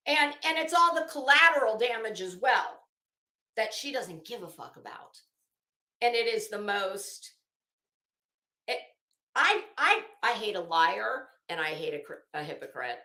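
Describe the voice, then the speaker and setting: Bright, forceful woman ranting in a roomy space. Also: mid-range voice. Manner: blunt, combative, emphatic, swearing freely. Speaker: commentary livestream host. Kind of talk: opinionated live commentary, casual rant.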